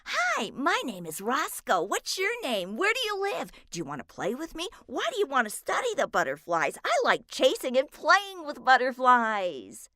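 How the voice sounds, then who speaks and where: A woman doing Roscoe, an excitable puppy. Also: a little high, dry recording, studio quality, voice pushed up, full character voice. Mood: excited. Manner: gushing, loud, rapid questions. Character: bouncy excitable young male puppy.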